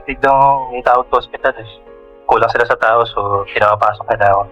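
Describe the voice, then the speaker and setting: A man's voice: mid-range voice, fairly even intonation, fast, pushed and bright, dull audio, faint music behind. A man voicing an apologetic young male nurse talking over the phone. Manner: sheepish, apologetic.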